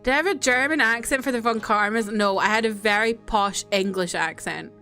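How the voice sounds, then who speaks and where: A woman's voice: fairly high pitch, fairly quick, bright, forceful tone, faint music behind. A woman streamer chatting casually about a game and laughing at her own voice acting. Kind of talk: casual gameplay chat.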